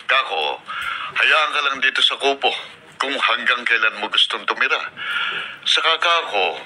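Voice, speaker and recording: Kindly older countryman, very bright edgy voice, earnest, Filipino radio drama, faint noise. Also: medium pitch, animated, a little slow, low-quality recording. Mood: kindly, reassuring. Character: concerned, kindly older rural man offering shelter.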